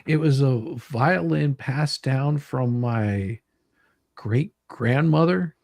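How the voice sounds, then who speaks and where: Male livestream host, creaky voice, dry close sound, loose and rambling delivery that circles back on itself. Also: mid-range voice, takes it slowly, a little mellow, no echo. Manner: rambling, repeats words while thinking. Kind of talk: casual livestream chat.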